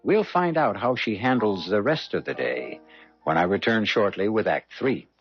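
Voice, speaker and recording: Male actor as knowing mystery storyteller, theatrical, deliberate, animated intonation, dulled 1970s radio broadcast. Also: lowish voice, lightly acted. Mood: solemn, knowing. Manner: theatrical, deliberate, dramatic emphasis. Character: solemn, knowing male storyteller of eerie tales. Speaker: host and narrator of a radio mystery drama. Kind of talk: 1970s radio drama narration. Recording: muffled old radio broadcast with music.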